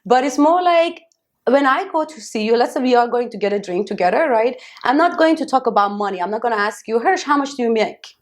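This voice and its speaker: Woman, high voice, chatty, encouraging backchannels, casual podcast co-host. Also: lively intonation, brisk. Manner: chatty, with frequent "mm-hmm" backchannels.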